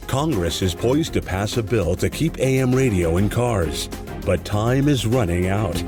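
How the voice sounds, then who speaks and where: A man's voice: on the low side, expressive, a little slow. A man reading a scripted radio campaign announcement. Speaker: public announcement narrator. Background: music.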